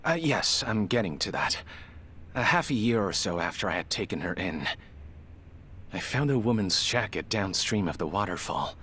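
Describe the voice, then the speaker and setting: A man's voice: on the low side, animated, a bit bright, breathy edge. An actor playing a formal, guarded male medical examiner in a video game drama, choosing his words with care. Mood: flustered, evasive. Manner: formal, measured diction, falters when pressed. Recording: music behind the voice.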